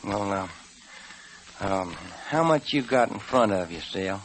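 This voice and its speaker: Slow-talking young rancher. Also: male voice, fairly low pitch, lively intonation, low-quality recording. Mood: uneasy. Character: stubborn young rancher gambling everything at poker. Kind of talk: old-time radio Western acting. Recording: hissy old radio recording.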